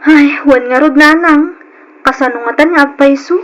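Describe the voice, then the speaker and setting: A woman's voice: high voice, moderate pace, a bit bright, rough recording. A woman playing a dutiful, considerate young provincial sister in an old Philippine radio drama. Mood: earnest protesting.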